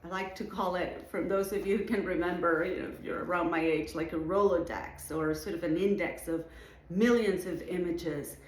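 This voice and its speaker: A middle-aged woman from Venezuela with a Venezuelan Spanish accent, speaking to students as an art show juror in an unhurried way, with fillers and restarts. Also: fairly low pitch, steady tone. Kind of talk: recorded address to students. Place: art studio indoors, seated. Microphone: camera in front, medium framing. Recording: echoey room.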